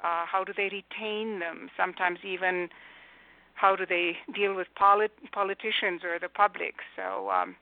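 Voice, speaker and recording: A woman's voice: mid-range voice, animated, moderate pace, no echo. A woman in her sixties with a Romanian accent, a guest executive coach answering interview questions articulately with many fillers and restarts. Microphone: telephone. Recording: tinny phone line.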